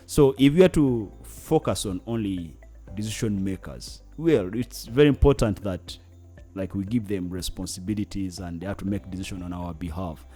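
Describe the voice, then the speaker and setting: Deep-voiced Ugandan man, earnest. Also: normal speed, hardly any pauses, warm tone, soft background music. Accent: Ugandan English. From northern Uganda. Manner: earnest, searching for words. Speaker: guest, climate activist. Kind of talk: interview conversation.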